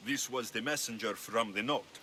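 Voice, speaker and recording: A man's voice: medium pitch, normal speed, pushed and bright, a little hiss or hum, close to an everyday voice. A man acting a bold, self-assured Greek mercenary in a video game, wry and probing. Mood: businesslike. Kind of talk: scripted video game dialogue.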